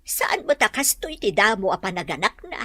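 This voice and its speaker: Casting: woman as concerned older Ilocano mother for audio drama, mid-range voice with a raspy edge. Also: mid-range voice, sing-song, moderate pace, sharp tone, full character voice. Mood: concerned, advising.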